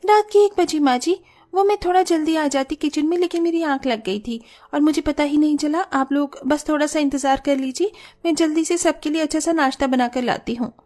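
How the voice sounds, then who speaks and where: A young daughter-in-law in a cartoon family story, acted by a woman. Her voice is very high, breezy and self-justifying, with a stubborn streak. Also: fairly quick, darkish tone, lightly acted. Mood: breezy, excusing. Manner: airy, excusing. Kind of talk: cartoon family drama acting.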